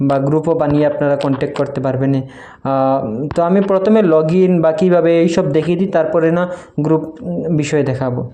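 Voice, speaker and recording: A man's voice: medium pitch, dark tone. A man explains a phone app step by step in an informal, repetitive instructional way. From Barak Valley, Assam, India. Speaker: tutorial video creator. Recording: faint background noise.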